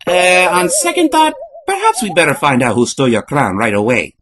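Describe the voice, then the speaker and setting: A woman's voice: low-pitched, big pitch swings, brisk, crowd noise. A woman voicing a nervous, wisecracking male plumber hero in a comic book fan dub, hesitant and exclamatory. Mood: skeptical. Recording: music behind the voice.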